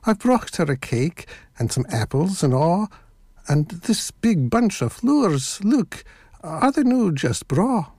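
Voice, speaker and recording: A polite little Scottish girl from a storybook, played by a woman. She chatters innocently and artlessly in a high voice that bobs up and down. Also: big pitch swings, slow, warm tone, breathy edge, dry recording, clean studio sound, full character voice. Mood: artless, chatty. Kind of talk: children's storybook character voice.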